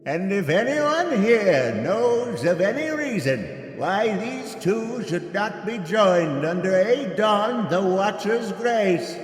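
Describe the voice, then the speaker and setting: A man's voice: high-pitched, big pitch swings, takes it slowly, hardly any pauses, heavily acted. A man playing a solemn fantasy wedding priest in an audio drama, intoning formally. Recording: echoing temple-like hall reverb.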